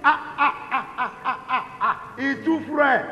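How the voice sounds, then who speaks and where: A man with a Nigerian accent acting a jovial, boastful layabout in a stage comedy, loud and teasing. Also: very high voice, normal speed. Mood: mocking, boastful. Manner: loud, teasing, breaks into laughter. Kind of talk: stage play comedy acting in Pidgin and Yoruba. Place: indoor theatre stage, distant camera. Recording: dull echoey stage hall recording.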